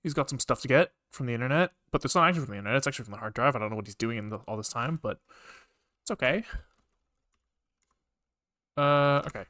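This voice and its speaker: A man with a mid-pitched voice talks to himself while coding on a livestream. He mutters bits of code in fragments with casual swearing, recorded dry and close to the mic. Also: expressive, fast talker, long pauses, sharp tone, no echo. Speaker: programming livestreamer.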